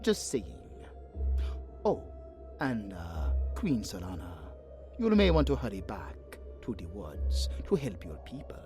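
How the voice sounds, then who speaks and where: A smug, mock-polite villain played by a man taunts in a swooping, slow drawl. It is fantasy audio drama acting, with music clearly underneath. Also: lowish voice, huge pitch swings, warm tone, heavily acted. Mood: smug, taunting. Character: smug, sly, mocking fantasy war villain.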